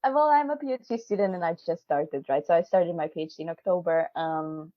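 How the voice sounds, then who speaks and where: Woman with a low voice on a dull video call. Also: a little fast, dry recording. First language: not English. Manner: chatty, laughs often, many fillers and restarts. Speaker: interviewee, PhD student. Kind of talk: casual video call interview.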